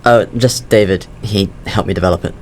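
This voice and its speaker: Young male, actor as anxious student job applicant, audio drama: lowish pitch, halting, awkward, noisy ambience. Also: a little mellow. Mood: guarded. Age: young adult. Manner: hesitant, stammering, awkward.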